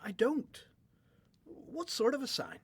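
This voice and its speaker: A man's voice: very high-pitched, animated, slow, a little hiss or hum, heavily acted. A young man playing an earnest, polite, questioning young prophet in a religious radio drama. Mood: curious, probing. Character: young John the Baptist, earnest, searching, polite newcomer.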